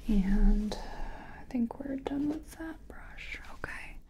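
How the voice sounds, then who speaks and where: A woman playing a gentle, chatty makeup competition contestant in a soft-spoken, whispery ASMR personal attention role-play. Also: fairly high pitch, lively intonation, a little hiss or hum. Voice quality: breathy, whispery. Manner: gentle, unhurried, chatty, reassuring. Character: friendly, self-taught makeup competition contestant.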